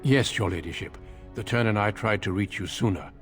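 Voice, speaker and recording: An actor playing a courteous, grave warrior recruiter in a video game, speaking in a measured, formal way. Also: male voice, lowish voice, soft background music, lightly acted. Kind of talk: dramatic video game acting.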